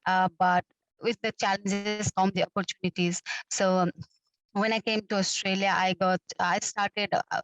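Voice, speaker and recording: A young woman from Nepal, presenting her job in a webinar over a video call, pausing often with fillers. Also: mid-range voice, level tone, no room sound, low-quality recording. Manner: hesitant, many fillers. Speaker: data center technician.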